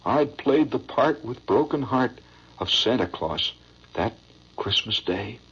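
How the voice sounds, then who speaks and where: A man performing a solemn, sorrowful father who recites an old stage monologue in a drawn-out, theatrical way. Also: medium pitch, expressive, a bit bright, slightly rough, pitched below the performer's own voice, heavily acted. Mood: grave, sorrowful. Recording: dull lo-fi old radio broadcast.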